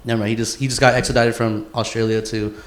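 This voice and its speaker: A young man talks like he's hanging out with his buddies, all slang and "bro". He is co-hosting a casual, crude podcast, and his mid-pitched voice rings in a roomy, echoing space. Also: little pitch movement, quick pace. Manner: slangy, bro-heavy talk. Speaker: podcast co-host. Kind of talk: casual, crude banter among friends. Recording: roomy, echoing indoor sound.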